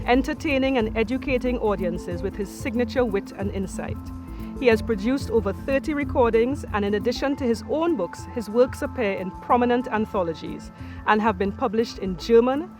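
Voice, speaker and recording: A woman delivering a formal graduation citation, measured and ceremonial. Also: on the high side. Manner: measured, rising to a proud proclamation at the close. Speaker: university orator. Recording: music bed underneath.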